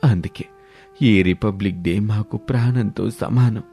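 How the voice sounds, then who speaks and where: A man plays an old, patriotic army veteran in a storybook drama. He speaks solemnly and earnestly in a low, very mellow voice, with soft music behind. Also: measured pace. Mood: solemn, moved.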